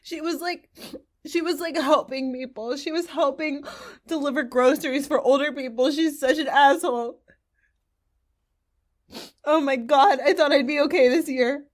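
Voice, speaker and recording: Actress as high-voiced young woman, tearful and despairing. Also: steady tone, moderate pace, long pauses, natural voice. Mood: upset, snappish. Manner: self-pitying, blurting confession. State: crying. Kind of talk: naturalistic audio drama monologue.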